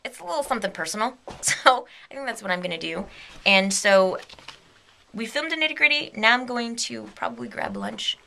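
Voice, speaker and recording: Bubbly young woman with a gravelly edge. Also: on the high side, very animated, a little fast, sharp tone. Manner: bubbly, rambling, giggly, drops to a whisper at times. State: sleepy and a bit delirious. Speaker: vlogger. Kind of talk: casual vlog chat. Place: home video studio with a big red chair and green screen. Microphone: handheld camera held close to the face.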